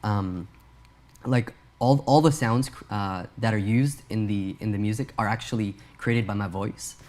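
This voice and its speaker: A man's voice: on the low side, a little slow, a little mellow. A young man born in Pakistan, an a cappella singer and producer, answering interview questions in a hesitant way with many fillers and restarts.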